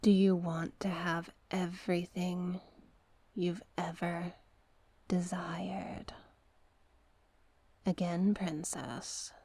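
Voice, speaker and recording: A woman voicing a seductive, manipulative sea witch in an ASMR roleplay, coaxing hypnotically in a breathy, husky voice that often drops to a whisper. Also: lowish voice, darkish tone, no echo, heavily acted. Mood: tender, possessive. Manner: slow, hypnotic, coaxing repetition.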